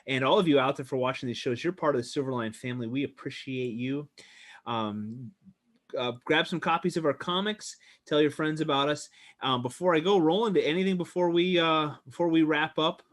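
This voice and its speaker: Guy hosting a stream, slightly high voice, excitable, stumbles over his words a lot. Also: fairly quick. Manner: excitable, stammering, repeats exclamations. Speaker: show host. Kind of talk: casual livestream chat.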